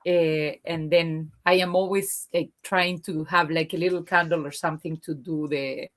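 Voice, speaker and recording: A woman guest in a casual conversation with a deep alto voice. She tells stories with animated energy. Her pitch sits lower than most women's. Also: normal speed. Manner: lively, animated storyteller. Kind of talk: casual chat.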